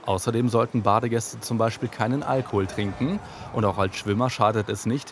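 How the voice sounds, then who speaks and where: A man with a fairly low voice narrates a scripted news report. He stays calm and informative. Faint background noise runs underneath.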